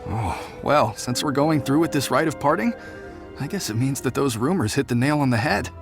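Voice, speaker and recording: A man's voice: medium pitch, lively intonation, moderate pace, natural voice. An actor playing a knowing, gossipy townsman in a video game, chatty and opinionated. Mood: resigned. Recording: prominent background music.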